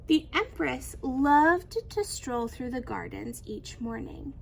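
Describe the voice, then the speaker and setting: A woman reading a children's storybook aloud in an expressive, animated storytelling manner. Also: high-pitched, slow pace, vocal fry, a little hiss or hum, poor audio. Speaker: teacher reading a picture book aloud.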